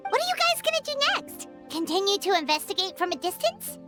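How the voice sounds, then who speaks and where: A woman voicing a small, chirpy fairy companion in a video game, with a squeaky childlike voice and an eager, inquisitive manner. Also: a little fast, full character voice. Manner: animated, inquisitive. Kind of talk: video game character acting. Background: background music.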